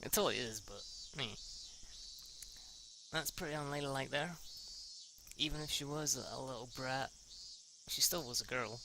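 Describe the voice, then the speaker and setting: Man, mid-pitched voice, halting amateur narration, casual sweary asides, noticeable background noise with insect-like hiss. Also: creaky. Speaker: amateur reader of a visual novel. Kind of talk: reading a visual novel aloud with casual asides.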